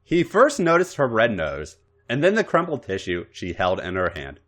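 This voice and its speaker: A man narrating a story aloud in a dramatic, expressive way. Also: medium pitch, moderate pace. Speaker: podcast host reading fan fiction. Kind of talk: story narration with acted dialogue.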